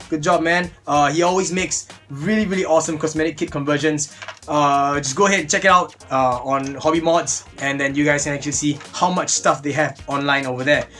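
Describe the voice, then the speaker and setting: A man's voice: a little high, pushed and bright. Upbeat man vlogging at desk. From Singapore. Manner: chatty and upbeat, repeats "really, really". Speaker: host of a toy blaster review channel. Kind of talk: casual product review vlog. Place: small indoor room, seated at a desk.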